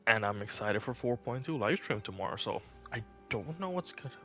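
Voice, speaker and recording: A man's voice: mid-range voice, animated, brisk, sharp tone, soft background music. A man chatting casually on a live game stream, rambling and thinking aloud as he goes.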